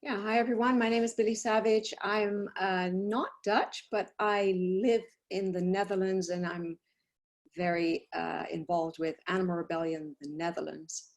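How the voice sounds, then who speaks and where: A woman's voice: medium pitch, fairly even intonation, normal speed. A woman panelist introducing herself casually and briefly on an online call.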